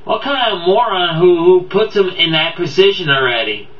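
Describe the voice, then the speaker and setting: A man's voice: sits high, animated, moderate pace. A man giving a casual, unscripted video review, rambling and stumbling as he repeats his words. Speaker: amateur video game movie reviewer. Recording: boxy echoey room, distant dull mic, background audio.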